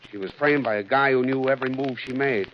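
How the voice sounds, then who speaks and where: An actor playing a tough, wry private detective in old radio drama style, clipped and slangy. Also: male voice, mid-range voice, fast talker, natural voice. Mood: accusing, assured. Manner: hard-boiled, clipped, slangy. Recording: dull, noisy old radio recording.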